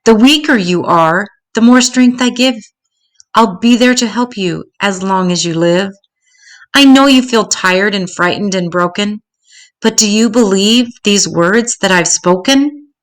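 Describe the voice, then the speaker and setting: A woman voicing a calm, kindly, mysterious holy man from a children's storybook, speaking in solemn measured rhyme. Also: medium pitch, lightly acted. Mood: calm, earnest.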